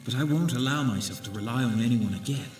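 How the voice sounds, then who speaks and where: An actor playing a scheming, ambitious villain inventor, speaking formally and theatrically in a comic dub. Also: male voice, mid-range voice, quick pace, a little hiss or hum, natural voice. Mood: brooding, determined. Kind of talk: dramatic comic dub monologue.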